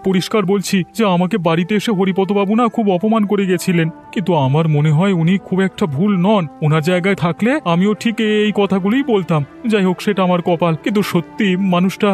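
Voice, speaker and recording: A man's voice: high voice, fast talker, hardly any pauses, a little mellow, close to an everyday voice. A man playing a meek, worried poor villager in debt in a cartoon, pleading and anxious. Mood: regretful. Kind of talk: Bengali cartoon voice acting. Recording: music behind the voice.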